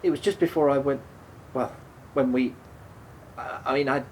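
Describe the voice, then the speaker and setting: A man's voice: normal speed, close to an everyday voice, rough recording. An actor in a radio play playing a remorseful, defensive man who speaks hesitantly, stumbling and repeating himself. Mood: defensive, regretful. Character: remorseful, defensive man haunted by a fatal drunk-driving crash. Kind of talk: naturalistic radio play dialogue. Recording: steady background noise, low rumble.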